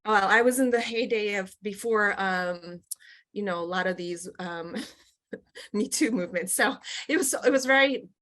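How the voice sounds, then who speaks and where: Middle-aged woman, interview guest; loose, chatty delivery full of fillers, even middle pitch. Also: moderate pace. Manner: chatty, many fillers and restarts, laughs often. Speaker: guest, company executive. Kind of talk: casual interview.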